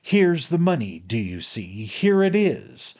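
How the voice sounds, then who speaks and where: A man voicing a proud, hysterical man who shouts emphatically and insistently at his servant in a dramatic audiobook. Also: on the low side, lively intonation, unhurried. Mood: furious, demanding. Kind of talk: dramatic audiobook character acting.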